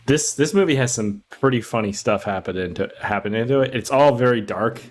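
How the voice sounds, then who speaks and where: A man's voice: mid-range voice, fairly quick, soft background music. A man co-hosting a film podcast, speaking in a casual, conversational way.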